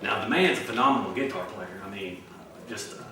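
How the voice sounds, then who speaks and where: A man's voice: fairly low pitch, fairly quick. A man with a Tennessee accent interviewing a musician, asking long, chatty, wandering questions. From small town in Tennessee. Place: outdoor festival grounds. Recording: echoey and distant, faint noise.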